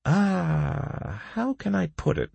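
Guy doing a nervy fussy medic character, voice pushed up high, swoopy, fantasy audiobook. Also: very animated, slow, creaky, darkish tone, slightly rough, no room sound, exaggerated voice. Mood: worried. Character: anxious, matter-of-fact male healer of a gaming party.

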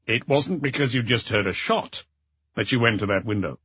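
A man voicing a calm, encouraging police inspector who patiently puts short questions to a child in an audiobook. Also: medium pitch, normal speed, slightly rough, no room sound, muffled recording. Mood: calm, probing.

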